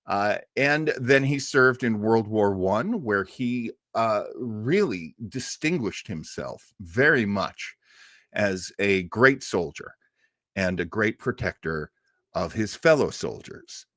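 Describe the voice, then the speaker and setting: A man's voice: fairly low pitch, expressive, slow, a bit bright, no room sound, clean studio sound. A man hosting an informal, unscripted show on film history, rambling along as an enthusiastic storyteller. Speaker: host of an old radio and film show.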